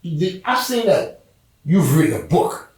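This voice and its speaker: A Haitian-born man hosting a casual interview, relaxed and affirming, often repeating his agreement. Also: a little high, a little slow. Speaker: host. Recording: very echoey, distant mic.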